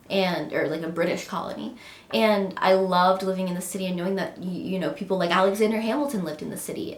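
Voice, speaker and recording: A woman's voice: fairly low pitch, reverberant. A young woman vlogging casually and chattily, a little nasal from a cold, full of "um" and "like". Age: young adult. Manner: chatty and bubbly, lots of "um" and "like". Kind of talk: casual vlog chat.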